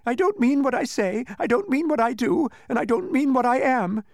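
A man voicing a small, furry, insincere demon from a storybook, with emphatic, drawn-out proclaiming. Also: high voice, lively intonation, no echo, studio quality, voice pushed up, exaggerated voice. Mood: sobbing, whiny. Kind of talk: storybook character voice.

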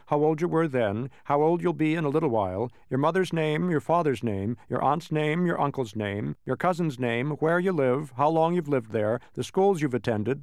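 A man doing a brisk fussy little census taker. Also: on the high side, no room sound, studio quality, voice pushed up. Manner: brisk, rattling off long lists.

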